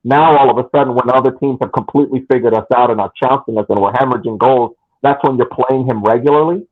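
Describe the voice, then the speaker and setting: A man's voice: mid-range voice, quick pace, narrow, tinny audio. A man co-hosting a soccer podcast, making long argumentative points in casual chat. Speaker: podcast co-host.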